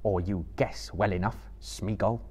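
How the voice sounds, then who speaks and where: A man voicing a grave young hero who speaks quietly and sternly. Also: lowish voice, steady tone, measured pace, breathy edge. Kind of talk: audiobook character voice.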